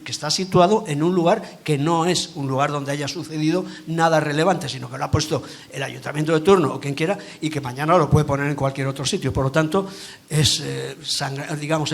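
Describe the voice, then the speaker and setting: A man's voice: on the high side, creaky. Man making a critical point at a panel. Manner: critical, precise, building an argument. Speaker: panel participant. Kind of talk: conference panel comment.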